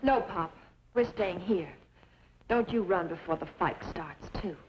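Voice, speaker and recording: A woman's voice: lowish voice, a little slow, slight background noise, close to an everyday voice. An actress playing a spirited, loyal young frontier woman in an old-time western radio drama, direct and outspoken. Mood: determined. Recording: muffled old radio recording with music.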